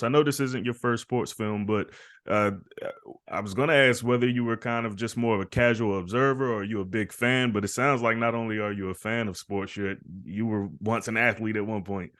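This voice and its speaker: A man's voice: mid-range voice, a bit bright. A man interviewing a guest, asking questions in a casual, hedging way with frequent fillers.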